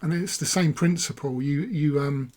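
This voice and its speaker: Hoarse man demoing software. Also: a little high, moderate pace. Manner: rambling, self-correcting, thinking aloud. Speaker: software reviewer. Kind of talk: casual screen-recorded walkthrough.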